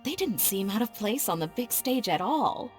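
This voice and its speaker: A woman's voice: mid-range voice, big pitch swings, normal speed, soft background music, natural voice. A woman playing an appreciative theatre audience member in a video game. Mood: impressed.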